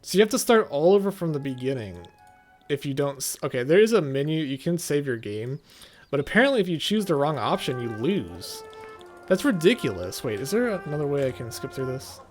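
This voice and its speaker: A man's voice: fairly high pitch, very animated, brisk, soft background music. A man giving casual, offhand and mildly sardonic commentary as host of a video game playthrough.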